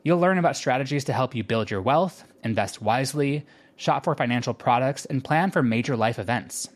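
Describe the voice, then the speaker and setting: A man delivers a scripted podcast advertisement. His voice sits at an average male pitch, and he reads as an announcer making a pitch to listeners. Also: a little fast. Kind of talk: scripted promotional read.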